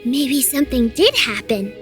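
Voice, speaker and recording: An earnest young girl apprentice, sweet and reassuring. Her voice is very high and lively, bright with patient explaining. A cheerful game character eager to share what she knows. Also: normal speed, faint music behind. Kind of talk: game voice acting.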